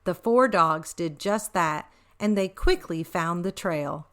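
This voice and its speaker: A woman reading a story aloud for children as host, expressive and speaking directly to young listeners. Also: on the low side, slow.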